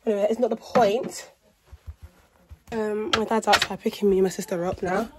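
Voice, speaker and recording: A teenage girl vlogging casually to her phone camera, upbeat and fast-talking, a little sniffly with a sore throat. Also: medium pitch, steady tone, noisy background. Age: teens. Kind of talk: casual vlog chat to camera. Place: car and city outings. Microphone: handheld phone camera. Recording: music playing underneath.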